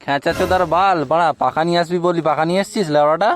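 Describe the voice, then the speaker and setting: A man's voice: fairly high pitch, very fast, a little hiss or hum, natural voice. A man voicing a crude, suspicious young club mate in a comic cartoon. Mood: surprised, suspicious. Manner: swearing, suspicious.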